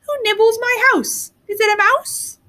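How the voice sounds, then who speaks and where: A woman voicing a gentle, amused, kindly old woman with a sing-song, coaxing way of talking, for a storybook. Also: very high-pitched, steady tone, a little slow, voice pushed up, full character voice. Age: older.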